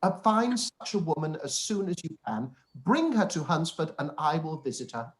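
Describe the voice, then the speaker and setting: A man's voice: fairly high pitch, sing-song, moderate pace. Guy playing a pompous smug young clergyman, stiff formal wordy period drama talk, oblivious. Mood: self-satisfied, earnest. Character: pompous, self-satisfied young clergyman making a proposal. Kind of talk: period drama live-read radio play.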